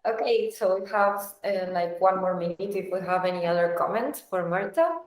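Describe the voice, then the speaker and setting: A woman's voice: lowish voice, moderate pace. Woman moderator in an echoey room. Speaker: host moderating presentations. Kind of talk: informal moderating. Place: remote video call.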